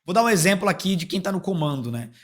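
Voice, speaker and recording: Fast-talking, higher-pitched São man. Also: expressive, a bit bright. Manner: informal, explains with examples and acted-out scenarios. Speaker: interview guest, police exam prep coach. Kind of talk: conversational explanatory interview answers.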